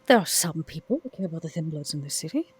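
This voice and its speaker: A player voicing a scheming, composed vampire in a tabletop roleplay, speaking in a measured, articulate and wry way. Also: mid-range voice, lively intonation, husky. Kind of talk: actual play roleplay dialogue.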